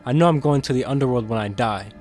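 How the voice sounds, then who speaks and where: A man's voice: mid-range voice, fast, darkish tone, close to an everyday voice. A man playing a guarded, conscience-stricken demon in an audio drama, earnest and defensive in manner. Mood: defensive, anguished. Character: guarded, conscience-stricken demon insider smuggling secrets to captive.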